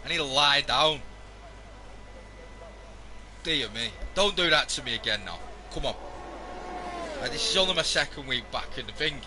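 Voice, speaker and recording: A man commentating a live sim racing stream, hoarse and losing his voice, joking wearily with the chat. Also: medium pitch, lively intonation, normal speed, long pauses, background noise. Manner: weary, self-mocking banter with the chat.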